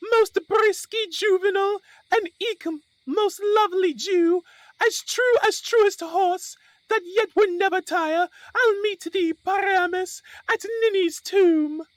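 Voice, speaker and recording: An actress playing a timid, dim amateur player reciting a lady's part with halting exaggeration in a comic Shakespearean play. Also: very high voice, no room sound, poor audio. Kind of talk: comic Shakespearean audio drama acting.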